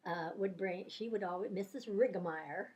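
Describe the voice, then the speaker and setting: A woman's voice: medium pitch, moderate pace, darkish tone, highs cut off. An older woman, a retired teacher, reminiscing about her life in a casual interview, telling rambling stories full of fillers and restarts. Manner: rambling anecdotal storyteller, many fillers and restarts.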